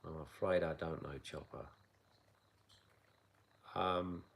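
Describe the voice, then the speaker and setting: A man of around sixty from England, accent softened by years in Asia, answering viewer questions in a calm, measured live chat as a kung fu teacher. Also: low-pitched, creaky. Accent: English accent softened by long years in Asia. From south London, England. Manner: calm, measured, unhurried with frequent pauses. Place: outdoor mountain training area.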